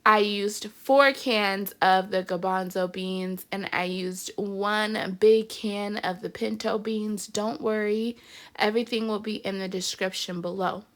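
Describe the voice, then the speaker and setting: A woman's voice: mid-range voice, steady tone, a little slow, a bit bright. A woman with a stuffy, congested voice, chatting upbeat through a home cooking video as the channel's host. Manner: chatty and upbeat, says "y'all" often. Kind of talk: casual cooking vlog narration.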